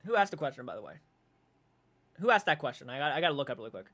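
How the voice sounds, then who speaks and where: A man in his twenties hosting a casual livestream, reading viewer comments aloud and reacting with animated, swearing banter. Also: on the high side, very fast. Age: 20s. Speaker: livestream host. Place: dark room at home.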